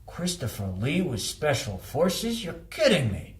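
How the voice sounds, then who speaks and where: A man's voice: fairly high pitch, huge pitch swings, unhurried, pitched above the performer's own voice. A man voicing a plain-spoken, polite and slightly tentative visitor in an audiobook. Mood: curious, surprised.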